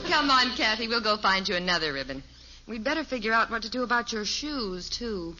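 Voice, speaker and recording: A woman's voice: on the high side, animated, a little fast, natural voice. An American actress playing a patient, practical mother and wife in a 1950s radio family sitcom. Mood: exasperated. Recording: muffled vintage radio recording, faint noise.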